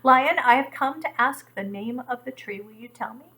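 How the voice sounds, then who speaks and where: A woman voicing a proud, excited young female gazelle in a told animal fable. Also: fairly high pitch, moderate pace, voice pushed up. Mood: eager.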